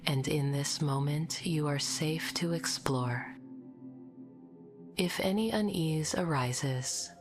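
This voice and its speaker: A woman with a low, soft voice that often slips toward a whisper leads a guided meditation. She speaks very slowly and gently, in a calm, soothing, scripted delivery. Music plays behind her.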